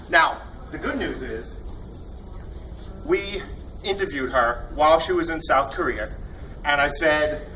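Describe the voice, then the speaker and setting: A man emcees a convention panel, joking his way through rambling introductions full of fillers. His voice sits a little high and lands from some distance off the mic. Steady noise from the hall fills the recording behind him. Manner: jokey, enthusiastic, rambling with fillers. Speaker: panel host introducing team.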